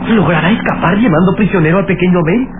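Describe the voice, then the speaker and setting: A man's voice: high voice, quick pace, lightly acted. An actor playing an anxious, wide-eyed young boy sidekick in a vintage radio serial, astonished and nervous. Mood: worried. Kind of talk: vintage Spanish-language radio serial acting. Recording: noisy muffled old radio serial.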